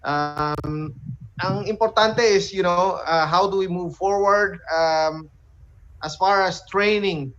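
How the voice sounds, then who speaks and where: On dull, low-fidelity call sound, a man with a slightly high voice interviews casually. He builds his questions slowly, with hesitations between phrases. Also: a bit bright, a little hiss or hum. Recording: dull, lo-fi online call audio.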